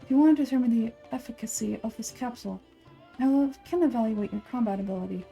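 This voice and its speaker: A woman voicing an aloof, calculating male scholar from a video game, speaking in a formal, measured, clinical way. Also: a little high, creaky, warm tone, faint music behind. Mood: analytical, detached. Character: aloof, scholarly young man, calculating and composed researcher. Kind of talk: game dialogue read aloud.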